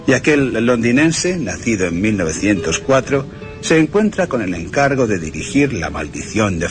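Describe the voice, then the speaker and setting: A man with a Castilian Spanish accent narrating a scripted piece of film history as a radio presenter. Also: medium pitch. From Spain.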